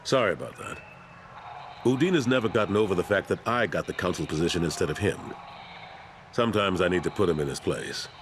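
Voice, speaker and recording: A man's voice: low voice, animated, a little fast, a little hiss or hum. An actor playing a firm, plain-spoken older military man turned politician in a video game. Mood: calm, frank. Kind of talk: video game dialogue acting.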